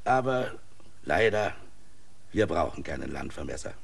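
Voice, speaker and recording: Radio play: gouty old mayor, gravelly, husky, politely evasive. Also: male voice, rumbling low, very animated, measured pace, heavily acted. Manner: courteous, rambling, officially evasive. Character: older bedridden village mayor, gout-ridden, long-winded. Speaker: village mayor.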